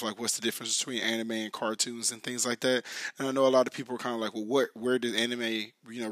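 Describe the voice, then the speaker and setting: A man hosting his own podcast in a loose, chatty way with lots of fillers and restarts, his voice hoarse and raspy. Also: medium pitch, a bit bright, no echo. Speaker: podcast host. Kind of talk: casual solo podcast talk.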